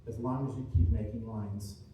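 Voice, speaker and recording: A man giving an inspirational speech to a room, earnest and deliberate, speaking in short, emphatic, repeated phrases. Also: on the low side, steady tone, dark tone. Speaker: motivational speaker, author. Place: large indoor room at a seminar. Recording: distant mic, echoey room, faint noise.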